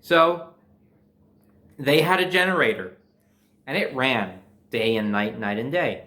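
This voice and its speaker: A young man telling personal stories in a casual, animated way, swearing freely and acting out bits of dialogue. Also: mid-range voice, slow. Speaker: storyteller.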